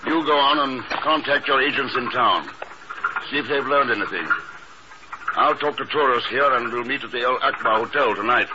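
An actor playing a cool, shrewd secret agent, terse and dry, in a 1950s radio drama. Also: male voice, mid-range voice, normal speed, lightly acted. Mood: brisk, decisive. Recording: muffled, noisy old radio recording.

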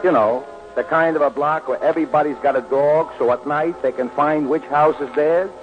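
A streetwise, luckless gambler , acted by a man, spins his story in slangy radio-comedy style. His voice sits fairly high for a man. The sound is a dull vintage broadcast with clear music. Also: normal speed, lightly acted. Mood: wry narrating. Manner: streetwise, ungrammatical, colloquial storytelling. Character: down-on-his-luck wisecracking horse-betting gambler narrating his tale. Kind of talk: 1940s radio comedy acting. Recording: muffled old 1940s radio broadcast, music.

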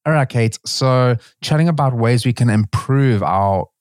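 A man's voice: medium pitch, a little slow, dry recording, clean studio sound. A man co-hosting a casual podcast, talking loosely with lots of fillers. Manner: relaxed, filler-heavy, says "like" often. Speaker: podcast co-host.

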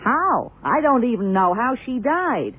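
Husky, frank theatre dresser. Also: female voice, fairly high pitch, sing-song, a little slow, exaggerated voice. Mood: defensive. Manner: candid, blunt, colloquial. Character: frank, hard-bitten female theatre dresser. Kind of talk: 1970s radio crime drama acting. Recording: tinny, band-limited old radio recording.

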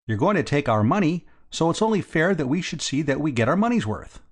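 Man voicing a high-pitched, pushy stout businessman. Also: sing-song, fairly quick. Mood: suspicious, insistent. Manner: blunt, insistent, businesslike. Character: stout, well-fed, cold-eyed businessman, suspicious and pushy. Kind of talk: audiobook character dialogue.

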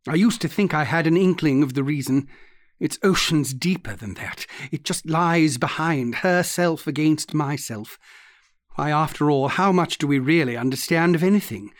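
A man's voice: fairly high pitch, moderate pace, no room sound, studio quality, lightly acted. Man doing a brooding haunted young man. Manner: earnest, insistent, guttural at times.

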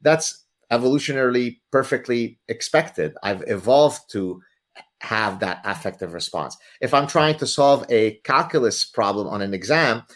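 A man whose first language is Arabic, a guest author explaining his ideas in a lively, theatrical, lecturing way. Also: medium pitch, moderate pace. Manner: lecturing, theatrical, uses vivid examples. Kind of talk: animated explanatory talk.

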